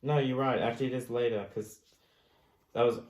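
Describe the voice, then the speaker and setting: A man's voice: medium pitch, fairly even intonation, fairly quick, darkish tone. A man co-hosting a podcast, explaining things in casual discussion, recorded in an echoey room. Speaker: podcast co-host.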